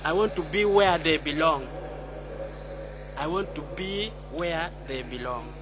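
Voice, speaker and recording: A woman's voice: low-pitched, unhurried, music in the background. A woman speaking earnestly and prayerfully, giving a heartfelt testimony at a conference.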